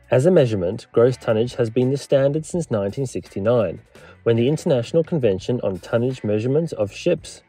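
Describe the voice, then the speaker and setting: A man narrates a scripted explainer in a measured, lightly wry way, like a historian giving a lecture. His voice is warm and mellow, with a slightly husky edge. Soft music plays underneath. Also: mid-range voice.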